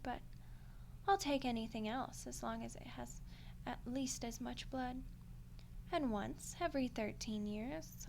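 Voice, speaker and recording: Woman playing a high-voiced, teasing ancient archdemoness. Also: darkish tone, slight background noise. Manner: playful, condescending, unhurried, breaking into whispery asides. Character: ancient female archdemon, amused, teasing, coolly menacing contract dealer. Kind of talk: roleplay ASMR, scripted character monologue.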